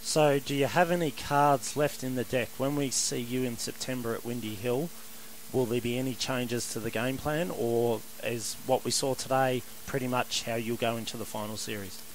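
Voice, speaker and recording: Brisk man; direct radio interviewer. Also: medium pitch, fairly even intonation, a little hiss or hum, rough recording.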